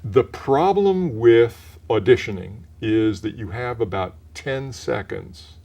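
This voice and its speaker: An older man, a retired professional turned voice actor, with a mellow voice, answering interview questions in a measured, explanatory way with frequent restarts. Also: mid-range voice, animated. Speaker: voice actor guest.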